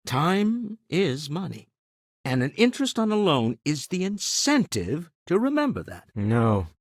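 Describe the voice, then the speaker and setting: An actor playing a shrewd, wealthy older businessman in a video game, proud and wry, with a husky voice and a dry, articulate, refined delivery. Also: fairly high pitch, huge pitch swings, unhurried, no echo, heavily acted. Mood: brisk, persuasive. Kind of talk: video game character dialogue.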